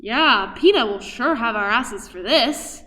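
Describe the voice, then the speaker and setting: Woman acting commanding general, bright forceful voice, slightly high, unyielding, authoritative, radio drama. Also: animated, unhurried, far from the mic. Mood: brisk. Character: hard, commanding military general taking over a project.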